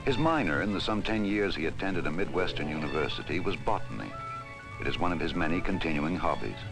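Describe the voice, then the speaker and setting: Deep-voiced 1960s educational film narrator, a man. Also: expressive, gravelly, poor audio. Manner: measured, formal, deliberate delivery. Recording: 1960s film soundtrack with music behind. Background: music.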